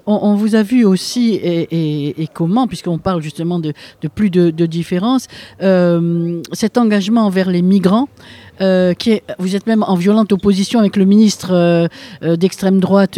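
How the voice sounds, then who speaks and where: An interviewer asking long, halting questions with many restarts. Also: mid-range voice, mellow.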